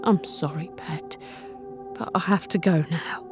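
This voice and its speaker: Woman playing a low, soothing father. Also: steady tone, measured pace, darkish tone, soft background music, close to an everyday voice. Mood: sad, apologetic. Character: evasive, gently reassuring father leaving his young daughter. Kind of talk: audio drama acting.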